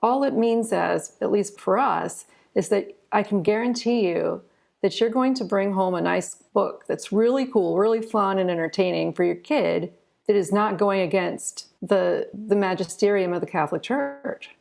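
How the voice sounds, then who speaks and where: A woman talks as an interview guest in an earnest, conversational way. Her voice has a noticeable creak at a medium pitch for a woman. She leans on key words and repeats them for emphasis. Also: normal speed, darkish tone.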